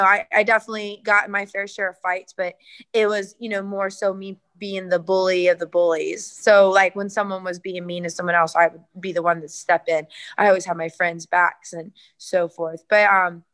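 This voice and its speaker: A woman's voice: mid-range voice, fairly bright tone, clear, resonant tone. A young adult woman fighter, answering interview questions earnestly, with many fillers and restarts. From United States.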